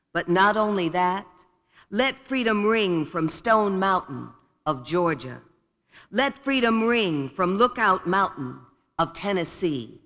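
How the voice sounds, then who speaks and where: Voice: mid-range voice, a bit bright. A male voice giving a dramatic, rhetorical speech reading at a commemorative event. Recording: reverberant hall.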